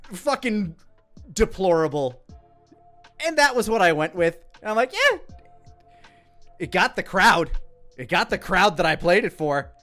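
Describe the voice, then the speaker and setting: A man's voice: high voice, sharp tone. A man giving casual commentary on his own work, rambling, candid and sweary. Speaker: creator commenting on own parody series.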